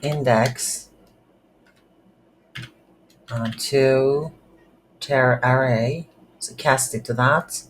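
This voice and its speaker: Slow, halting coding tutor with background noise. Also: medium pitch, steady tone. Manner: halting, thinking aloud while typing. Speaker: game development tutorial instructor. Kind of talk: unscripted coding tutorial narration. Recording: noisy room with keyboard typing.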